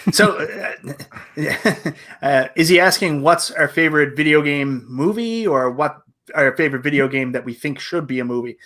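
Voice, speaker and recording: Man, slightly high pitch, casual chat, livestream co-host. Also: unhurried, a bit bright. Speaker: show co-host. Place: home game room.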